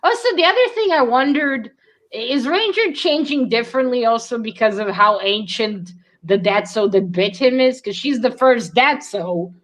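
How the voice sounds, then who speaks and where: A woman with a moderately high-pitched voice talks informally about fiction. She is animated and opinionated, often sounding incredulous as she reacts to the story. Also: moderate pace. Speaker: read-along book discussion participant. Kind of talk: casual book chat.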